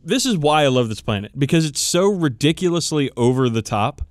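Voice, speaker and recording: A man's voice: fairly high pitch, expressive, fairly quick, no echo, studio quality. A man co-hosting a podcast, chatting casually and enthusiastically about tabletop game lore with plenty of swearing. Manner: profane, enthusiastic, informal. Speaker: podcast co-host.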